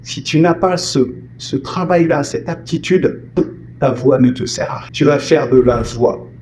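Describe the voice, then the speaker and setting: A man's voice: fairly high pitch, measured pace, a little mellow, a little hiss or hum. A man from France with family roots in Martinique, telling stories about voice dubbing in a casual interview, acting out the lines he quotes. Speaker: voice actor and singer, guest.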